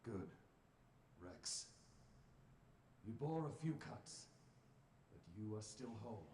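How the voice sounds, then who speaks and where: A man playing a seasoned, protective knight captain in a video game, speaking with earnest, deliberate command over loud music. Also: lowish voice, animated, a little hiss or hum. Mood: gently stern. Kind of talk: dramatic video game acting. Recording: loud music behind the dialogue.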